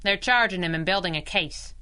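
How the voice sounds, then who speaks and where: A woman's voice: on the low side, brisk, sharp tone, clear, resonant tone. An actress playing a plucky, teasing young lady chauffeur in a 1930s-style radio drama, wry and sassy.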